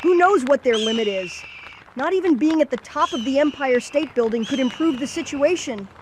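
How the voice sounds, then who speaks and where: A woman playing a bossy, excitable boy who leads a team of kid heroes in an amateur cartoon comic dub. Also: sits high, normal speed, a little hiss or hum. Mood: matter-of-fact. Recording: music under the voice.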